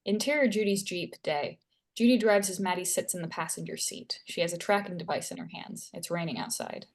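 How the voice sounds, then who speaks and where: A woman serves as narrator at a screenplay table read. She reads scene headings and action lines in a slightly low voice. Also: fairly quick. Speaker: stage directions narrator.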